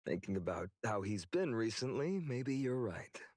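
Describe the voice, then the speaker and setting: An actor playing an earnest, resolute young swordsman hero in a video game, speaking in a measured, friendly way. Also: medium pitch, no echo, clean studio sound. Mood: amused. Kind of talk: English video game voice acting. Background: background game music.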